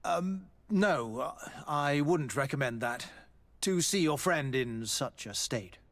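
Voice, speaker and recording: An actor playing an evasive, formal army doctor in a video game, hesitant and deferential. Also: male voice, a little high, animated, takes it slowly, fairly bright tone, heavily acted. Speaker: military doctor. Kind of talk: dramatic video game acting.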